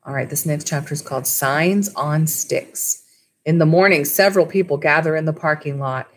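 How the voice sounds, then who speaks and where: A woman's voice: low-pitched, normal speed, slight background noise. A woman in her thirties, a school library teacher, reading a storybook aloud and talking informally to children. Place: small indoor room at home with wood-panelled walls. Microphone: webcam or laptop mic at arm's length.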